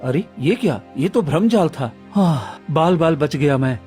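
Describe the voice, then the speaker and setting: A man's voice: a little high, lively intonation, a little slow, darkish tone, soft background music. A man playing an earnest, remorseful villager in a Hindi cartoon moral story. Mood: surprised, wary. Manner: pleading, earnest.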